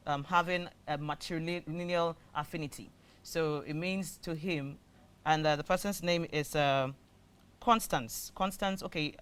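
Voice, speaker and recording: A man with a Ghanaian English accent hosts a studio talk show. His voice sits a little high for a man. He talks in a measured, explanatory way and leans on fillers as he works through a point. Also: a bit bright, clean tone.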